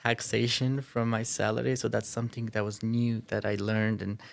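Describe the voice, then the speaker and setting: A man from Armenia, a school language department head, telling stories in a measured, reflective way on a casual podcast. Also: on the low side, level tone, vocal fry, no room sound. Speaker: ELD head teacher.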